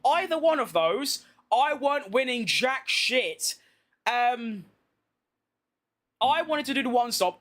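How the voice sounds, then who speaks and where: A man's voice: very high voice, fairly bright tone. A tired British man streaming a racing game, chatting casually and rambling in a self-deprecating way. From Britain. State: tired from being awake all day. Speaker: gaming streamer. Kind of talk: casual live-stream gameplay chat.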